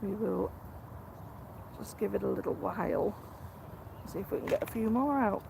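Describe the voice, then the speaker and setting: A hoarse woman, chatting quietly to viewers on a fishing live stream outdoors by a lake. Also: mid-range voice, moderate pace, background noise. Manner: speaks quietly, greets viewers. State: hoarse from a cold. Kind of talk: casual live-stream chat.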